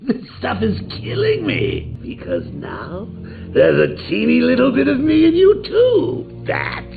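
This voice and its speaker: A cackling criminal clown in a video game, his gravelly voice leaping wildly between purring lows and shrieking highs. He lingers over his words, gloating and theatrical. The sound is thin and tinny, with loud music behind. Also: wildly swooping pitch, unhurried, exaggerated voice. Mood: taunting. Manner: theatrical, taunting, giggling, swinging from purrs to shrieks. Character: gleeful, menacing male criminal clown.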